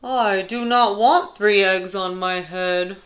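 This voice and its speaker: A woman's voice: mid-range voice, slow, a bit bright, voice pushed down. A woman voicing an anxious, easily alarmed male elephant with dramatic, emphatic delivery in a children's picture book. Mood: exasperated.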